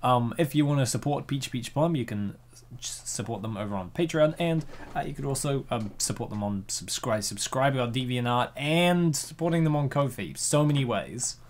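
A man's voice: mid-range voice, animated, normal speed. A man hosting a comic reading, giggling often and rambling with lots of fillers. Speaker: host reading a comic dub. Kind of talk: casual chat.

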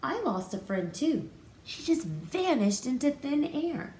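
A woman voicing a gentle, excitable male goose in an expressive storybook read-aloud. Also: high-pitched, slow, pitched above the performer's own voice, heavily acted. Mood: sympathetic. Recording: roomy echo, faint background noise.

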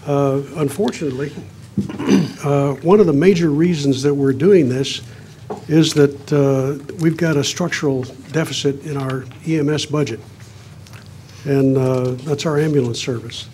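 A councilman speaks from the dais in a plain, measured way, his voice sitting a touch high for a man. A faint hiss of room noise runs under him. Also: dark tone. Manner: measured, frequent "uh" fillers, plain-spoken. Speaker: city councilman. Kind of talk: council floor remarks. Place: council chamber.

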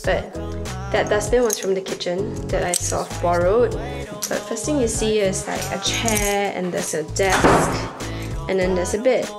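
A young woman from Singapore, a university student, chatting casually as she gives a walking tour of her student flat, filmed on a handheld camera at arm's length. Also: mid-range voice, measured pace, loud music behind the voice. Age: young adult. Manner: chatty, explains as she walks around pointing things out. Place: student flat kitchen and bedroom, indoors. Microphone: handheld wide-angle camera at arm's length.

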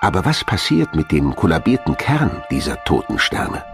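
Male narrator for scripted science documentary. Low voice, lively intonation. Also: normal speed, creaky. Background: background music.

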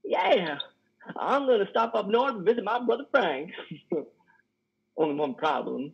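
A man in his thirties playing a lonely, guilt-ridden, simple-minded trucker in a play reading, with halting, folksy speech. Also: high-pitched, lively intonation, gravelly, husky, heavily acted. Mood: childlike delight. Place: indoor room on a video call. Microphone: headset. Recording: muffled video-call audio.

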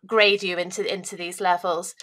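A woman's voice: lowish voice, little pitch movement, normal speed, a bit bright. A woman in her thirties hosting a video call interview through a headset, asking short questions. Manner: short prompts and brief acknowledgements. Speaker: interview host. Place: small room indoors.

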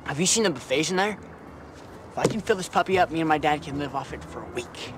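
A man's voice: a little high, expressive, fast talker, music in the background, lightly acted. A young man playing a brash, wisecracking teenage boy who talks in a jokey, mock-posh way. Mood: scheming. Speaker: teen sitcom character. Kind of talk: sitcom acting.

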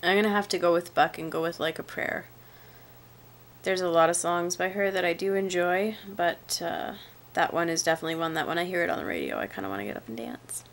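A woman's voice: lowish voice, animated, fairly quick, creaky. Stuffy-nosed woman chatting on her sofa. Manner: chatty and laid-back, trails off quietly at the ends of sentences. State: stuffy nose from a cold, tired. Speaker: vlogger. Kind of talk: casual vlog answering tag questions. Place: living room, sitting on a sofa, indoors. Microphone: webcam or handheld camera at arm's length.

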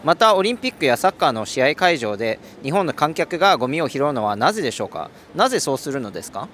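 Lively polite male street interviewer. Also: a little high, quick pace, a little hiss or hum. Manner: polite, formal questioning. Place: city street outdoors.

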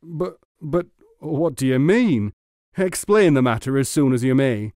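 A man's voice: mid-range voice, fairly even intonation, fairly quick, a little mellow, no room sound, studio quality, lightly acted. A man voicing a gullible, bad-tempered rustic muleteer in a storybook, exclaiming and stammering. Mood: puzzled. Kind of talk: storybook character reading.

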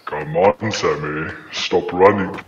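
A young man with a South African accent and a low voice draws out playful threats while gaming with friends. He talks slowly and teasingly. The recording is roomy, with faint noise. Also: highs cut off. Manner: playfully menacing, taunting, chuckling. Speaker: video game player. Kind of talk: casual gaming banter with friends.

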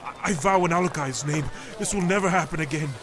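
An actor playing a broken, foolish father in a fantasy audio drama, halting and meek as he pleads. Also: fairly high pitch, lightly acted. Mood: contrite, grateful. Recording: loud music behind the voice.

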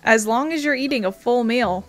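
A woman's voice: a little high, moderate pace. A woman hosting a gaming video with casual let's play commentary, sometimes reading text aloud. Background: video game music.

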